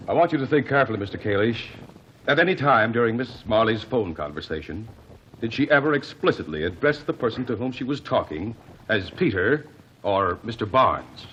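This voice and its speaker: An actor playing a shrewd, methodical male investigator in a 1940s radio courtroom drama, questioning witnesses in a measured, probing way. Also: mid-range voice, animated, fairly bright tone, a little hiss or hum, close to an everyday voice. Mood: calm probing. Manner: measured, probing cross-examination. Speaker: defense attorney questioning witnesses. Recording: dull lo-fi old radio broadcast.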